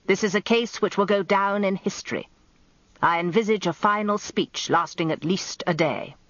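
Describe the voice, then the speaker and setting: An actor playing a pompous, grumbling old barrister in a radio drama, British, with a grandiloquent and plaintive way of talking. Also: male voice, high-pitched, moderate pace, a bit bright, dry recording, muffled recording. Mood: boastful. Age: older. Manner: grandiloquent, plaintive, self-important.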